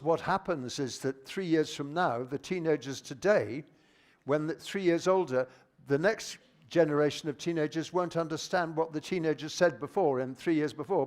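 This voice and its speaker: An older man from Britain leading a plenary talk on language teaching, chatting with the audience through a handheld microphone, repeating their points with quick restarts. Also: a little high, a little mellow. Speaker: plenary speaker on teaching methodology. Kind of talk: live conference talk with audience discussion.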